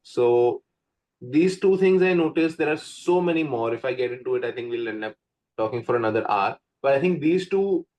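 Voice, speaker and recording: A man's voice: mid-range voice, expressive, moderate pace, darkish tone, poor audio. A young man from India with an Indian accent, a Hindi native speaker, talking casually as an English coach in an interview, full of "like" and "right?" fillers. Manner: chatty, frequent "like" and "right?" fillers.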